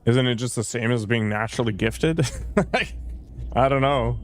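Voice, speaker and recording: A man's voice: mid-range voice, normal speed, a little hiss or hum. A man chatting casually as he streams a game, musing aloud with fillers and trailing thoughts. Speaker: let's play streamer. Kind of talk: casual gameplay commentary.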